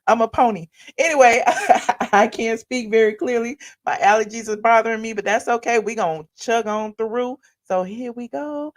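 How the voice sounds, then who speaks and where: A hoarse woman hosting a casual livestream, chatting in a sassy, drawn-out way. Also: on the high side. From Atlanta area. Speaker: livestream host. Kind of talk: casual live-stream chat.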